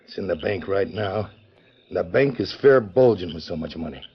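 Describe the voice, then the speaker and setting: Low-voiced male Western gang boss, tough and commanding, rough frontier talk, dull old-radio sound. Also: lively intonation, moderate pace, exaggerated voice. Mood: scheming, resolute. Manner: folksy frontier drawl with rough grammar. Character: tough scheming Western outlaw gang leader, vengeful and commanding. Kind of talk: 1940s radio western acting. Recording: dull vintage 1940s radio recording.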